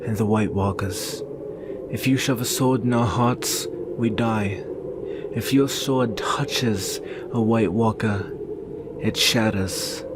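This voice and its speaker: A man playing a brooding, protective young northern warrior and devoted lover in an earnest, solemn ASMR roleplay with measured pauses. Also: medium pitch, natural voice. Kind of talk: ASMR roleplay, intimate slow dramatic monologue. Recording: very noisy ambient background.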